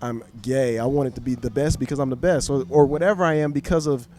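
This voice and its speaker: A young man hosting a podcast, answering in a thoughtful, measured, slightly hesitant way. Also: medium pitch, fairly even intonation, music in the background. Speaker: podcast host. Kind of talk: conversational interview.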